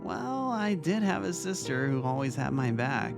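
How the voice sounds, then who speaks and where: A man voicing a kind, reassuring vice-principal in an audio drama, speaking gently and earnestly. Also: mid-range voice, big pitch swings, moderate pace, gravelly. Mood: sentimental, grateful. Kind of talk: audio drama fan fiction reading. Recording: music under the voice.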